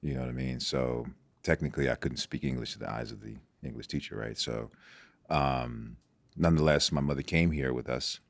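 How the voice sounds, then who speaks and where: A man's voice: rumbling low, a little fast, gravelly, no room sound. A Nigerian-born man whose first language is Igbo, a guest in an interview, talking reflectively and often restarting his sentences. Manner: reflective, with many restarts and repeated words. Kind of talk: casual interview talk.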